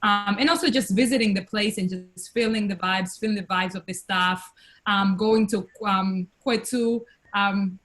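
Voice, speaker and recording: A young woman from Tanzania, speaking on a video call webinar, with many pauses, fillers and restarts. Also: mid-range voice, fairly even intonation, fairly bright tone. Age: young adult. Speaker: webinar guest, investor. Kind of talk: casual testimonial on a webinar.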